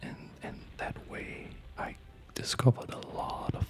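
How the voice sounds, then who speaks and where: Hushed man whispering ASMR. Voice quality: whispered, breathy. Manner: unhurried, halting, hushed. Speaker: ASMR gamer. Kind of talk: ASMR, casual chat while playing a strategy game. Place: quiet home at night. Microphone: close studio condenser microphone.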